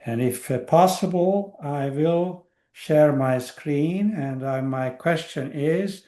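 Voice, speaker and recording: An older man from Hungary giving an academic lecture over a video call, pausing often with fillers and restarts. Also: on the high side, mellow, roomy sound. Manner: hesitant, many uh fillers and restarts. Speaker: guest lecturer.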